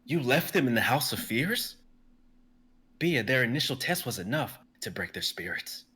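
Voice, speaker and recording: A man voicing Akalos, a commanding warrior who speaks in a formal, measured way. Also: medium pitch, very animated, bright, forceful tone, voice pushed up. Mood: stern.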